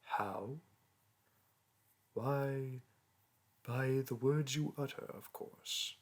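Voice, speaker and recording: A man's voice: mid-range voice, drawn-out words. A man playing a condescending young male dragon teacher in a roleplay audio, speaking in archaic, formal and disdainful phrases. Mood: lofty disdain. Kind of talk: roleplay audio monologue to a listener.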